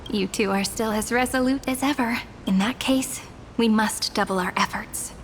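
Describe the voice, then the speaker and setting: An actress playing a gracious female chronicler who carries a huge book and speaks in a gentle, ceremonial way. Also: a little high, normal speed, slight background noise, close to an everyday voice. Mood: poised. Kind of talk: video game voice acting. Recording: game soundtrack behind.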